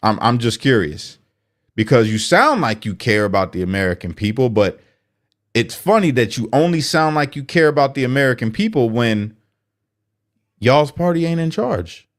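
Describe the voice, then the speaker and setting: A man's voice: mid-range voice, very animated, moderate pace. A man hosting political commentary, speaking bluntly and sarcastically as if straight to the person he criticizes. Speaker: commentary host.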